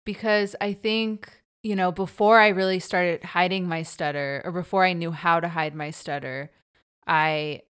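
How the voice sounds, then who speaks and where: A woman who stutters, hosting a personal interview with her mother in a reflective, halting way. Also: on the low side, fairly even intonation, a bit bright, clean tone. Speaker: podcast host.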